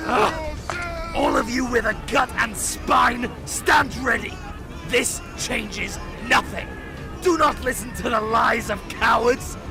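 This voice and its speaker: A fierce, defiant knight captain in a fantasy audio drama. His voice is very high for a man, with a gravelly edge, delivered in slow, commanding oratory over music. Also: exaggerated voice. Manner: commanding, declamatory battlefield oratory.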